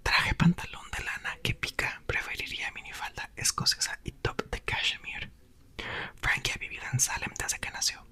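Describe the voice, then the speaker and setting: A man reading a novel aloud in a soft, unvoiced ASMR whisper. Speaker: ASMR reader.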